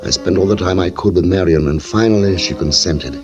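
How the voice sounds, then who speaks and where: An actor playing a meek, henpecked middle-aged botanist with quiet murderous schemes, mild and measured in 1940s radio suspense style. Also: male voice, low-pitched, lightly acted. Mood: quietly sinister. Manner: mild, deferential, dryly measured. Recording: muffled old radio recording with music.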